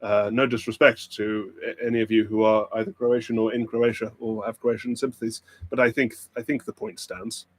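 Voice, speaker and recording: A man's voice: fairly low pitch, flat delivery, fairly quick, few pauses. A man with a British RP accent hosting a pub quiz livestream, chatting and joking with viewers. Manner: playful, joking banter with the chat. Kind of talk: casual livestream chat with viewers.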